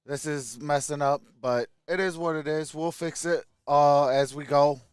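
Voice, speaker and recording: A man's voice: on the high side, fairly even intonation, a little slow, clear, resonant tone, no echo, studio quality. An American man hosting a sports livestream, chatting casually and informally to his viewers.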